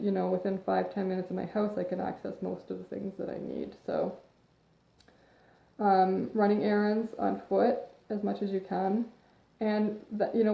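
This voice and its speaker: A woman's voice: mid-range voice, gravelly. A woman fitness coach chatting through a live video, rambling into tangents and a little sniffly from allergies. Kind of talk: casual chat, advice monologue. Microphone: phone or webcam livestream. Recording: echoey room, dull lo-fi sound.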